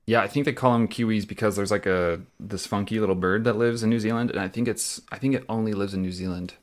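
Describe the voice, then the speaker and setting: A man's voice: on the low side, steady tone, fast talker. A young man co-hosting a movie podcast in casual chat, rambling with fillers and easy laughs. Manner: chatty, lots of fillers and laughs. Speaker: podcast co-host.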